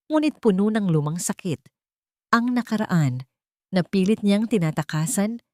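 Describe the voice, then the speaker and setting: A woman reading a romantic drama story in a steady, dramatic storytelling manner. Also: mid-range voice, no room sound, clean studio sound. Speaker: narrator. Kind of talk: scripted story narration.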